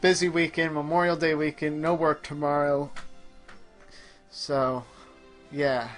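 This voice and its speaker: Higher-voiced man chatting while gaming. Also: flat delivery, unhurried, faint music behind. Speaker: host playing a video game. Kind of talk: casual gaming commentary chat.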